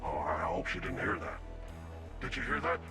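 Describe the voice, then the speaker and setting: A man's voice: very deep voice, moderate pace, loud music behind the voice. A man voicing a crude, bickering ghoul henchman in a video game. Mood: crude, sneering. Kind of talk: video game character acting.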